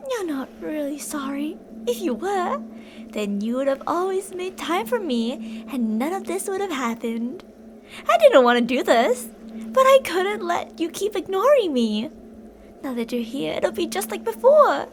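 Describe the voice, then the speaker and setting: A woman's voice: extremely high pitch, big pitch swings, measured pace, slight background noise, heavily acted. A woman playing an obsessive, possessive female fan turned kidnapper in an intimate, dramatic ASMR roleplay monologue. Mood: accusing, tearful, then aggrieved, intense. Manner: intimate, swinging between insistent pleading and soft whispery menace.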